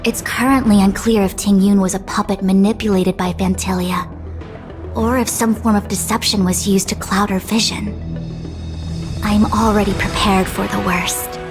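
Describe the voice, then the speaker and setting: An actress playing a composed, dutiful woman diviner in a video game, speaking formally and with measured articulation. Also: mid-range voice, steady tone, music in the background, close to an everyday voice. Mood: grave. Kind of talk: scripted video game dialogue.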